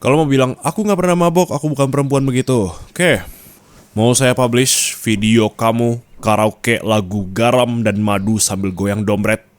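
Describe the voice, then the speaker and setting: A man's voice: medium pitch, steady tone, normal speed, no echo, close to an everyday voice. A man playing a strict, flirty and possessive male office boss in an intimate ASMR roleplay, commanding and teasing, with questioning tags. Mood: mocking.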